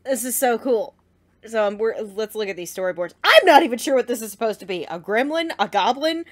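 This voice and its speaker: A woman hosting a casual reaction vlog, gushing and slangy in a dramatic, excited fan's way. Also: fairly high pitch, brisk. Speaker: reaction video host.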